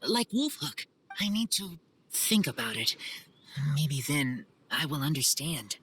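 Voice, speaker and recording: A woman voicing an earnest, feral wolf-raised boy in a video game, speaking in blunt, halting broken grammar. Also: low-pitched, big pitch swings, a bit bright, a little hiss or hum, full character voice. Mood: thoughtful. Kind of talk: video game character acting.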